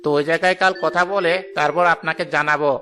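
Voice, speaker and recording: Affable, higher-voiced village matchmaker. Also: male voice, fairly even intonation, fast, pushed and bright, a little hiss or hum. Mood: affable, persuasive. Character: affable village matchmaker praising prospective grooms. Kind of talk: cartoon story voice acting. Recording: music behind the voice.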